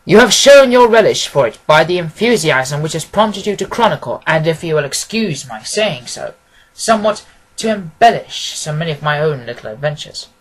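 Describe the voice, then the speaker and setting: A man voicing a brisk, commanding, courteous gentleman detective from a storybook, speaking formally and emphatically. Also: a little high, lively intonation, rough recording. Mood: cordial, emphatic.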